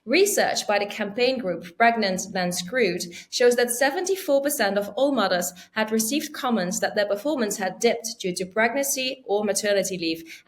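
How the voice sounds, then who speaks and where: A woman hosting a debate podcast, clear and organized, reading out prepared background before each statement. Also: mid-range voice. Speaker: podcast host.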